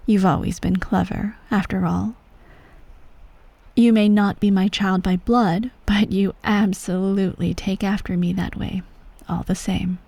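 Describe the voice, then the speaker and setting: A regal, self-righteous empress mother acted by a woman tells a bedtime story to her child in an ASMR roleplay. She speaks slowly and softly, slipping toward a whisper, with a ruthless streak under the hush. The sound is dry and close. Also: mid-range voice, dry recording. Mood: tender, scheming. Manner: hushed, measured, regal, breaking into soft whispery tones.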